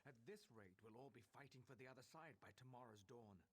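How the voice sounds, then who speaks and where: Man acting a grim, husky army commander. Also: mid-range voice, fast talker, lightly acted. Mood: grim, urgent. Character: grim, battle-weary army commander, urgent and blunt. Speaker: game character, military commander. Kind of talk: video game voice acting.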